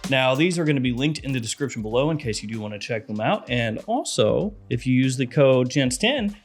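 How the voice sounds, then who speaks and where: A man's voice: mid-range voice, a little fast, faint music behind. Chatty man with a head cold. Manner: chatty, animated, gesturing with hands. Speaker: fragrance reviewer. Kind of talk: casual video review. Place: small room with lit shelves of bottles.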